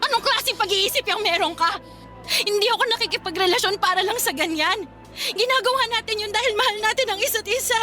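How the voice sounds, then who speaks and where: A woman's voice: very high-pitched, a little fast, sharp tone. An actress playing an emotional, pleading young pregnant girlfriend who stands her ground in a Filipino radio drama. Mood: anguished. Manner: emotional, confrontational. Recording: music bed under the voice.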